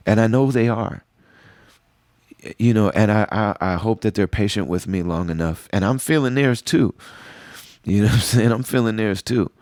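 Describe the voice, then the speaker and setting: A man's voice: sits low, a little mellow, dry recording, clean studio sound. A middle-aged man hosting a podcast, talking through a personal reflection on his own in an earnest way, often repeating himself and asking "you know what I'm saying.". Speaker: podcast host. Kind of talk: reflective solo monologue.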